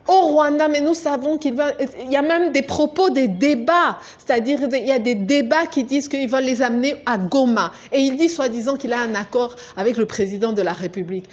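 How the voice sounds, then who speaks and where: A woman's voice: high-pitched, a little mellow. A woman from Congo, giving an emphatic, pleading political monologue as an activist, often asking her listeners "ok?". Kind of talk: political monologue addressed to viewers. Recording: dull lo-fi recording.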